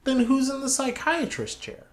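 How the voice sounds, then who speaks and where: A man's voice: extremely high pitch, sing-song, moderate pace. A man co-hosting a podcast, chatting casually and joking about movies. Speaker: podcast co-host. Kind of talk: casual chat reviewing movies.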